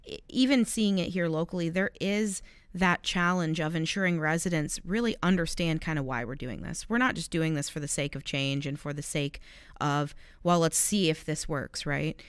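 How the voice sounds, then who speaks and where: A young woman with a smooth, clear and slightly low voice co-hosts a local government talk show. She speaks earnestly and conversationally, and the sound is dry and close. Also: moderate pace, dry recording. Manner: earnest, articulate, conversational with occasional restarts. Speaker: city communications coordinator, co-host. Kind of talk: casual policy discussion.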